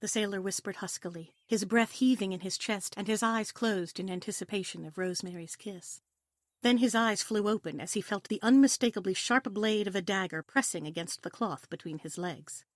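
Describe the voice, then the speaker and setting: A woman narrates a scripted adventure story with expression. Her voice sits at a middle pitch, captured dry and close. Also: moderate pace, no echo. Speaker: narrator of audio play.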